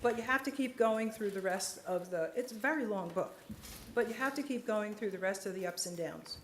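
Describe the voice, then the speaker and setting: A woman psychiatrist giving a lecture and answering audience questions, earnest and measured, slowing down for emphasis. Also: mid-range voice, a little hiss or hum. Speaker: psychiatrist speaker. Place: lecture hall. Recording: echoey lecture hall.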